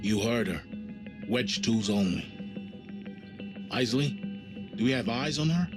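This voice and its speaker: A man's voice: lowish voice, expressive, unhurried. A man playing a stern, decisive spaceship commander in a sci-fi horror game, with a clipped, authoritative delivery. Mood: stern, urgent. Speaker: voice actor in a video game. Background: music.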